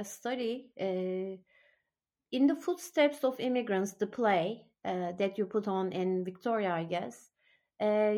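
A woman speaks as a podcast interviewer in a slightly high voice. Her delivery is conversational and hesitant, building questions in short, halting phrases. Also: darkish tone.

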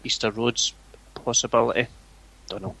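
A Scottish man chatting casually on a podcast as the guest, with a flat, even way of talking that he calls boring. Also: medium pitch, moderate pace, gravelly, poor audio. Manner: flat, self-described boring voice. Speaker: forum owner, guest. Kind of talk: casual chat.

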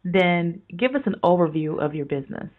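A woman hosting a business radio interview, with an energetic, promotional delivery. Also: lowish voice, a little fast, narrow, tinny audio. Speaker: podcast host.

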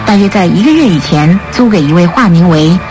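A woman narrating a scripted radio drama in a measured, formal storytelling manner. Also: on the low side, expressive, over music.

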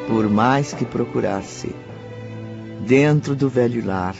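A man's voice: mid-range voice, takes it slowly, vocal fry. A man with a Brazilian accent gives solemn, literary narration for a radio drama. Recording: muffled radio production, music underneath.

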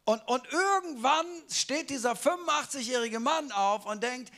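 Man, preacher, high voice, casual chatty sermon, rhetorical questions. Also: normal speed, bright, forceful tone. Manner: colloquial, asks listeners rhetorical questions.

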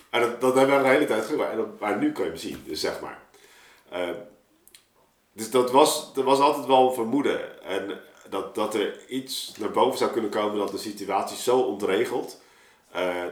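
Low-voiced man in an echoey room. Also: normal speed. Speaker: musician in a podcast discussion. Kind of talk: casual reflective conversation. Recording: roomy, echoing sound.

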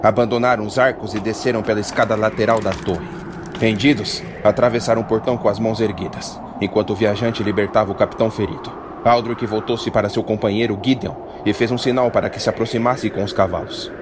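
A man narrating a fantasy audiodrama in a steady, dramatic storytelling manner. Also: lowish voice, fast, noisy background.

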